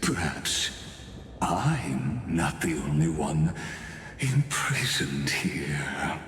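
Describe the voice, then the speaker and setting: Very deep, slow, taunting godlike villain. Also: male voice, very animated, a bit bright, full character voice. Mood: mocking, probing. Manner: slow, theatrical, taunting, with drawn-out pauses. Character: imprisoned godlike villain, ancient, calm, smugly taunting. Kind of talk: dramatic video game voice acting. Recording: echoing hall reverb, music underneath. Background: music.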